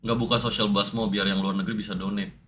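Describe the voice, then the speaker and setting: A young male streamer who grew up in New York, chatting and teasing his guest in quick mixed Indonesian and English banter. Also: lowish voice, level tone, noisy background. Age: young adult. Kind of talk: casual stream chat, mixing Indonesian and English slang.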